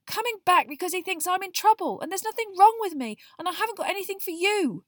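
A woman voicing an irritable, impatient teenage schoolboy in audiobook dialogue, with a curt, forceful manner. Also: sits high, sing-song, normal speed, dry recording, clean studio sound, voice pushed up. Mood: furious, snappish.

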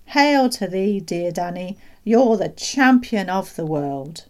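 A woman's voice: deep voice, unhurried, warm tone. A woman voicing a tiny, jubilant male country doctor in a storybook, effusive and theatrical. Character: tiny elderly village doctor, excitable, jubilant man. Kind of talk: children's storybook read aloud.

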